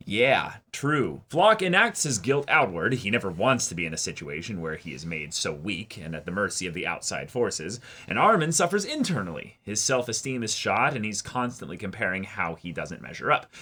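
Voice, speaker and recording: A man's voice: lowish voice, sharp tone. A man co-hosting an anime reaction show, talking casually and sometimes reading viewer comments aloud.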